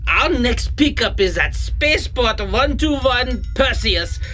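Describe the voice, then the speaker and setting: An actor playing an animated, doting space captain. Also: medium pitch, takes it slowly, soft background music. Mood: wry. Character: earnest, cheerful spaceship captain and doting father. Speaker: voice actor in an audio drama. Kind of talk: comedic sci-fi audio drama acting.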